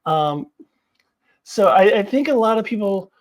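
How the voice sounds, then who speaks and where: A woman's voice: medium pitch, lively intonation, measured pace. A woman talks through entertainment news as the host of her own online video. Her delivery is casual and unscripted, wandering from thought to thought in a chatty way, with a mid-range voice. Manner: chatty, rambling, laughs as she talks. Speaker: YouTube host commenting on TV franchise news.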